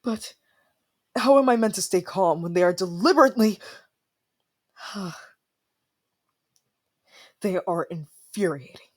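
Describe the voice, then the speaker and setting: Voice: medium pitch, lively intonation, slow, long pauses. A performer playing a young, haughty ice prince in a fantasy audio roleplay, formal and indignant, easily flustered, with dramatic sighs. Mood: frustrated, anxious.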